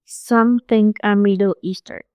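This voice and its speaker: A woman's voice: medium pitch, fairly even intonation, unhurried, warm tone, clear, resonant tone, no echo, studio quality. A woman in her thirties with a Malaysian accent, talking casually as a podcast guest. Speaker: podcast guest, university staff member. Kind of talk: casual conversation.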